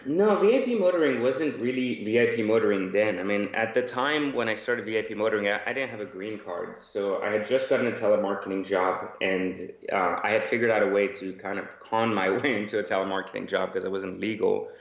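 A man's voice: lowish voice, a little fast, hardly any pauses. An Iran-born man guesting on an interview podcast, giving long rambling answers full of fillers and restarts. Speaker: guest entrepreneur. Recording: echoey, dull remote audio.

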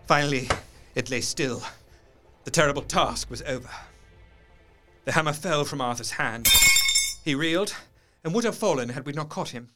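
A man playing an earnest gentleman doctor, narrating a gothic horror radio play in a dramatic, literary manner. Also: on the high side, normal speed, loud music behind the voice, lightly acted. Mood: horrified, intense.

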